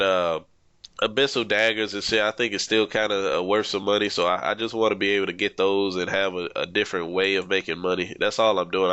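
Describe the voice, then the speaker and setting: A man's voice: deep voice, a bit bright, smooth, dry recording, lo-fi sound. A young man with a stuffed-up nose, talking casually over his gameplay as a gaming YouTuber, rambling and swearing freely. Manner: laid-back, rambling, swears freely, says "man" a lot.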